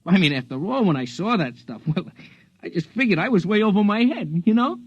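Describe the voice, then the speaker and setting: An actor playing a nervous working-class bar patron questioned by the FBI, stammering and repeating himself in a 1950s radio drama. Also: male voice, high-pitched, big pitch swings, a little fast, husky, no echo, lo-fi sound, exaggerated voice.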